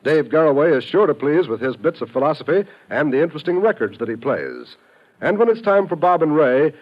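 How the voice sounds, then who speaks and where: A man with an American accent reading scripted promos and credits as a 1950s radio announcer. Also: on the high side. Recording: dull old radio broadcast recording. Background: faint music.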